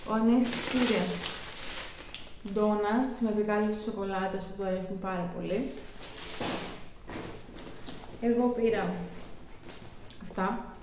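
A woman's voice: mid-range voice, fairly even intonation, pauses often, very echoey, background noise. A young woman chatting casually from a sofa, showing off her grocery haul item by item in a rambling, talkative way. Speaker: vlogger. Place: living room, seated on a sofa.

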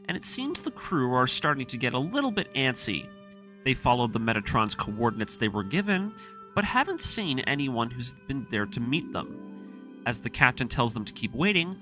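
A man's voice: mid-range voice, bright, forceful tone. A man hosting a video series, narrating a recap in a conversational, semi-scripted way. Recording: music playing behind the voice. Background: music.

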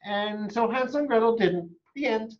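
An American man in his forties reading a children's storybook aloud with animated expression, recorded on a camera close by. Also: high-pitched, normal speed, dull audio. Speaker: storybook reader for a school.

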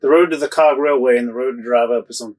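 A man's voice: medium pitch, fast. A man in his thirties, a travel vlogger, chatting casually about a road trip with fillers and restarts. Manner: relaxed storytelling with fillers and restarts. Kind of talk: casual chat. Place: RV interior, then outdoors at a campground.

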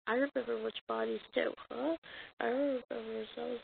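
A woman reading a comic dub as an amateur voice actor, acting out the dialogue in a halting, stumbling way on a muffled, noisy recording. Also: on the high side, steady tone, creaky. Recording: muffled lo-fi recording with noise and clicks.

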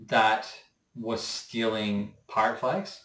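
A man's voice: fairly low pitch, fairly even intonation, slow, fairly bright tone. Young man chatting in an echoey room. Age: young adult. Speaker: co-host, series specialist. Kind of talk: casual explanatory chat. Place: small indoor room with shelves. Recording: echoey room, faint music behind.

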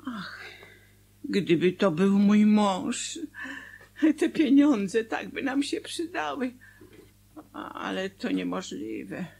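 An actress in a radio drama playing an anxious, pious, loving middle-aged wife with a breathy voice. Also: female voice, mid-range voice, slow, pauses often, full character voice. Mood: wistful, startled. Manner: expressive, agitated. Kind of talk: Polish radio drama acting.

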